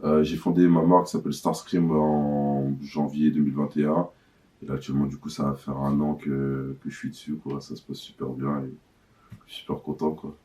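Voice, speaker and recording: Very deep, mellow young man. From Paris, France. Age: 20s. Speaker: young fashion brand founder. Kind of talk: casual interview.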